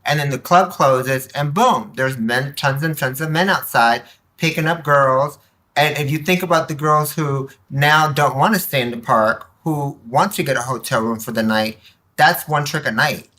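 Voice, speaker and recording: A woman speaks candidly as an interview guest, in a very bright, forceful voice. She is animated and emphatic as she tells personal stories, slipping into quoted remarks. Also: rumbling low, normal speed. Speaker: podcast guest, nonprofit board member. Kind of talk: candid personal storytelling in conversation.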